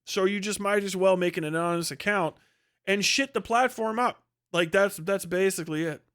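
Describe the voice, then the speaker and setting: A man's voice: high-pitched, normal speed, fairly bright tone, clear, resonant tone. A man hosting a livestream, ranting casually with strong opinions in a blunt, profane, dismissive manner. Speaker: livestream host.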